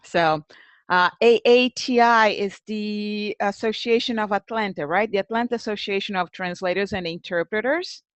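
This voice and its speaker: A woman's voice: medium pitch, moderate pace, dry recording. A woman presenting an informal webinar as host, conversational, thinking aloud and addressing attendees by name.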